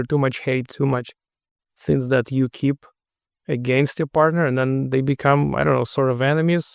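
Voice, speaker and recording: Russian guy talking slow and careful, bit of gravel in his voice, dry close sound. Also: medium pitch, no room sound. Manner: measured, thoughtful, with frequent restarts. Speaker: podcast guest. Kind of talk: casual interview conversation.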